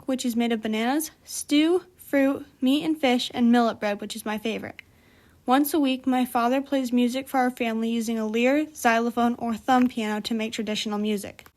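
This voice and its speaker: Woman, reading a school report, earnest, careful, fairly high voice. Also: darkish tone. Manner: careful, earnest reading in first person as a character. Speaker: student presenter.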